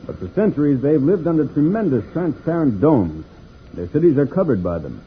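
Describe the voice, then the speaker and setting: A man's voice: fairly high pitch, sing-song, brisk. A man playing a confident, kindly space pilot in a vintage radio serial, patient and instructive with authority. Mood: assured, explanatory. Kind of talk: 1950s radio serial acting. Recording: muffled, scratchy old radio recording.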